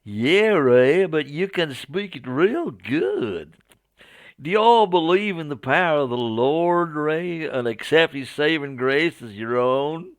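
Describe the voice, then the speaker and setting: A man's voice: a little high, very animated, slow pace, dry recording, pitched above the performer's own voice, exaggerated voice. A man voicing a zealous, naive Southern American missionary in a told story, with a folksy, preachy drawl. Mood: earnest zeal.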